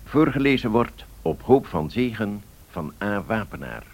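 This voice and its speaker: A stately 1930s radio announcer. His voice is fairly low and husky, and he addresses listeners with solemn, formal courtesy in old continuity style. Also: lively intonation, unhurried, darkish tone. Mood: solemn, courteous.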